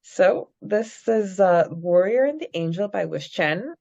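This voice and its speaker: A woman co-hosting a fan podcast, chatting casually in a hesitant, halting way. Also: mid-range voice, lively intonation, gravelly, warm tone. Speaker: podcast co-host. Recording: slightly dull audio, faint music behind.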